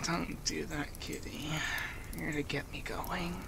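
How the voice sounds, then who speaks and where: A man playing an affectionate, teasing femboy monster companion in a soft-spoken ASMR roleplay, with an intimate, breathy, half-whispered delivery. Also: a little high, level tone, measured pace, heavy background noise. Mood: flirty teasing.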